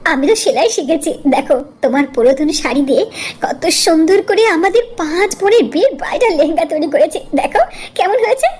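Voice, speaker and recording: A woman acts out a young bride-to-be in a cartoon story. The voice is girlish and extremely high, with very animated pitch. She is caring and insistent, pleading eagerly. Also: quick pace. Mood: eager, reassuring. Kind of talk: cartoon story character acting.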